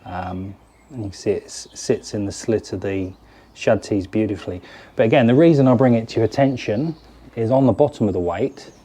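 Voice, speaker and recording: Relaxed British presenter in his forties, mellow tone, chatty hands-on product walkthrough. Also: fairly low pitch. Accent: British English. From United Kingdom. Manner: chatty, hands-on, explains while fiddling with lures. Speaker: fishing tackle presenter. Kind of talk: casual product demonstration to camera. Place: garden cabin or shed, indoors.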